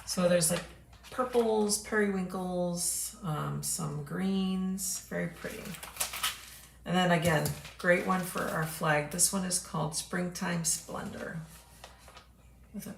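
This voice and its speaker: Female host, hoarse from losing her voice, slow relaxed product walkthrough, roomy noisy recording. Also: on the low side. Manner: chatty, unhurried, clears throat often. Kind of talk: casual product showcase livestream. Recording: echoey room with background noise.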